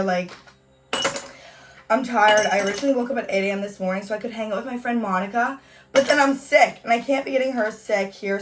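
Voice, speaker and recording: A young woman from Canada chatting in a casual home vlog, bubbly and full of "like" and "you guys", her voice hoarse from a cold. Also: medium pitch, distant mic, noisy background. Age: young adult. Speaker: beauty vlogger. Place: at home on a hot day.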